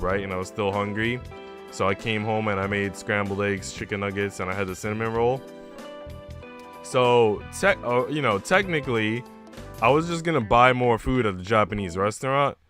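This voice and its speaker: A man live streaming in a slangy, conversational way, chatting casually as he plays through a visual novel. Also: on the low side. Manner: slangy, conversational, often addressing listeners as bro and dude. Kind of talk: casual chat while reading a visual novel aloud. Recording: music behind the voice. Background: game music.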